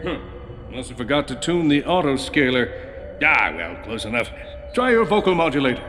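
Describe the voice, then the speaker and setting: An actor playing a pompous, vain, bumbling space adventurer in a video game cutscene, theatrical and self-important. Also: male voice, mid-range voice, sing-song, moderate pace, a bit bright, soft background music. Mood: casual.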